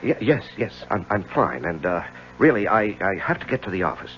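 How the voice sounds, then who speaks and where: An actor playing a troubled middle-aged lawyer in a 1970s radio drama, speaking in a halting, confessional way. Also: male voice, lowish voice, very animated, a bit bright, a little hiss or hum. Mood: flustered. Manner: halting, hesitant, stammering. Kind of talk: 1970s radio drama acting, narrating and in scenes. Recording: dull lo-fi 1970s radio, music underneath.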